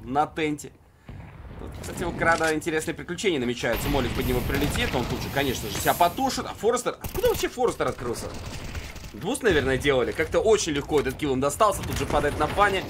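A man's voice: a little high, background noise. A man giving conversational live esports commentary in a wry, joking manner.

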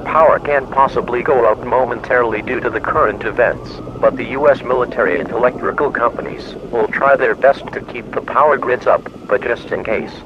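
A man's voice: mid-range voice, close to an everyday voice. A man playing an impersonal government emergency alert announcer, reading a scripted broadcast in a formal way. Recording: muffled lo-fi, loud background noise.

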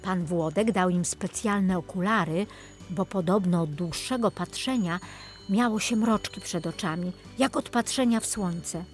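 Unhurried woman narrating a children's story. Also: fairly low pitch. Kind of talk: scripted children's audiobook narration. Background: music.

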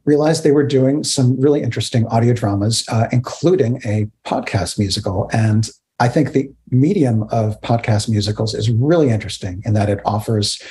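A man's voice: medium pitch, moderate pace, warm tone. Middle-aged man hosting, hesitant and wordy. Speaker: interview host. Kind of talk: spoken introduction and interview questions. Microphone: webcam on a video call.

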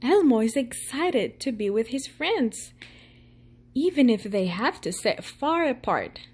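A woman reading a children's picture book aloud in a gentle storytelling manner. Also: on the high side, very animated, measured pace, slightly rough. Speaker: storytime reader.

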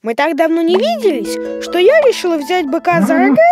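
A woman's voice: very high-pitched, very animated, brisk, music in the background. A woman voicing a patient young cartoon creature, expressively explaining and reading aloud for children. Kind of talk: children's educational cartoon voice acting.

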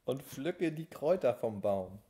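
Very low, husky woman coaching lines. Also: very animated, unhurried, warm tone. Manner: patient, amused. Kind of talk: casual line coaching.

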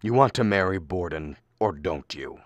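A man playing an exasperated, blustering middle-aged father and husband in a radio comedy play, halting and strained. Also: sits low, expressive, slight background noise. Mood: strained patience. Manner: halting, throat-clearing, erupting when frustrated. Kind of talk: stage comedy acting for radio.

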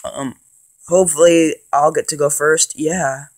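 A man with a cold and a congested voice, rambling casually with lots of ums and excited bursts as he plays and narrates a handheld game. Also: on the high side, unhurried. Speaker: gaming channel host. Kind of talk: casual let's play commentary.

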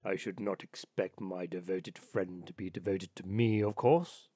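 A man's voice: low voice, fairly even intonation, unhurried, warm tone, no room sound, studio quality, voice pushed down. A man doing a pompous old water rat. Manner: haughty, self-satisfied.

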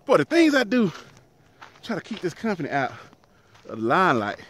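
A man in his thirties, a truck driver, chatting casually to his viewers in a handheld vlog, outdoors by a highway with the phone at arm's length. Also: wildly swooping pitch, fairly quick, fairly bright tone, a little hiss or hum. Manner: chatty, talks to viewers as "y'all", laughs easily. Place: outdoors in an empty desert town by a highway. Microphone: phone held at arm's length.

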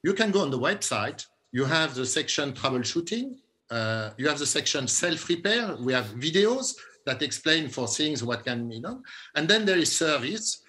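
Executive, fifties, male, bright clear tone, walks through steps on a webcam. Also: fairly high pitch, very animated, normal speed. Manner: explanatory, stepwise, with fillers. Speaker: company executive panelist. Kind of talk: panel discussion answer by video call. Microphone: video call webcam.

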